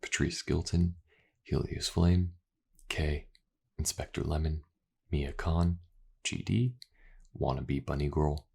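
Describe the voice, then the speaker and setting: A man talking casually and informally to his listeners in a low-key creator's outro. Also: takes it slowly, vocal fry, pauses often, a little mellow, slightly rough. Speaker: ASMR voice actor, channel creator.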